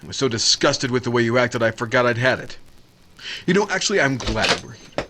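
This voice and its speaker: A man's voice: medium pitch, very animated, fairly quick, noisy background, lightly acted. A man playing a young suitor in 1940s radio drama style, sheepish and earnest, often hesitating and stammering. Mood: earnest, indignant.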